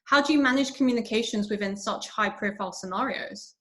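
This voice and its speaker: Measured woman interviewer. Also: medium pitch, fairly bright tone. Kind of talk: interview questions.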